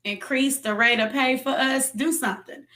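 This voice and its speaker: A woman's voice: high voice, fairly even intonation, normal speed, pushed and bright. A woman with an American accent chatting casually as a podcast host. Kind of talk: casual chat. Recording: roomy, echoey sound.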